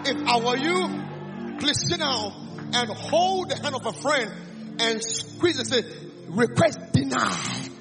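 A man with a Ghanaian accent preaching a sermon, calling out lines for the congregation to repeat, dropping low and then rising to shouts. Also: wildly swooping pitch, takes it slowly, over music. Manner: fiery call-and-response preaching, swings from hushed to shouting.

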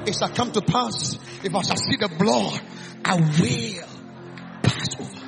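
A man with a Ghanaian accent preaching a sermon, calling out lines for the congregation to repeat, dropping low and then rising to shouts. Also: a little slow, gravelly, music in the background. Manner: fiery call-and-response preaching, swings from hushed to shouting.

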